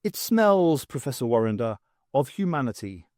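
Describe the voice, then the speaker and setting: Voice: mid-range voice, big pitch swings, normal speed, mellow, dry recording, studio quality, lightly acted. A narrator voicing an earnest, self-important male painter with a grandiose manner in an audiobook. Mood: proud.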